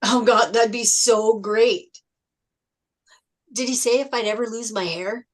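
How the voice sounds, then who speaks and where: A woman's voice: a little high, steady tone, a little slow. A woman reading as an eager, affectionate young boy in an earnest manner at a script table read. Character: eager, vain, affectionate young boy talking to his mom.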